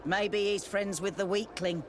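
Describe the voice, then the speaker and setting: An actor playing a sly, mocking debt-collecting thug in a video game. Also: male voice, high voice, fairly even intonation, unhurried, background noise. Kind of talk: video game cutscene acting.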